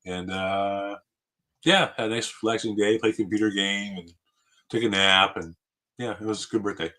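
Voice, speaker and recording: A man's voice: fairly low pitch, fairly even intonation, normal speed, bright, forceful tone. A man in his late fifties chatting casually on a live stream, rambling with many fillers and frequent laughs. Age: 50s. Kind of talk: casual chat.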